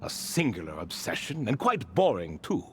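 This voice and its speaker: An actor playing an ancient, wry and refined spirit who tells stories in a proud, wistful way in a video game. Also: male voice, lowish voice, huge pitch swings, normal speed, slight background noise. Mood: amused, disdainful. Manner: theatrical, refined, leisurely storytelling. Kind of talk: video game character dialogue.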